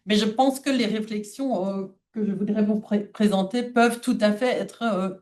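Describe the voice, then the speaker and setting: A woman's voice: medium pitch, normal speed, a little mellow. A woman who is not a native French speaker, giving a formal academic lecture read aloud from a prepared text. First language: not French (non-native speaker).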